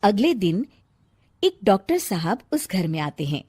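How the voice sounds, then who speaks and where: A woman's voice: mid-range voice, sing-song, measured pace, a little mellow, breathy edge. A woman narrating a scripted comic story as a storyteller.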